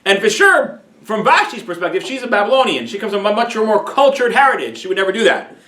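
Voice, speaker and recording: A man's voice: high-pitched, big pitch swings, fast, bright, forceful tone. A man teaching a Torah class as a rabbi, telling a story with frequent restarts and repeated words. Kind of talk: weekly Torah class lecture. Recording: echoey room.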